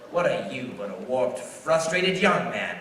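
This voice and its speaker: A man's voice: medium pitch, very animated, unhurried, a little hiss or hum. Man acting a sneering banker villain, echoey stage. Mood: gloating, scornful. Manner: taunting, sneering. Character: gloating, cold-hearted older male banker villain. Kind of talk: live 1940s-style radio play acting. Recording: distant echoey theater pickup, loud music.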